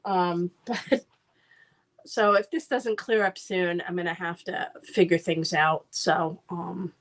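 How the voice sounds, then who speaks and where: A woman's voice: on the low side, steady tone, takes it slowly. A woman chatting casually on a live stream while making herbal oil products, rambling with frequent ums, congested from a cold. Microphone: streaming camera mic.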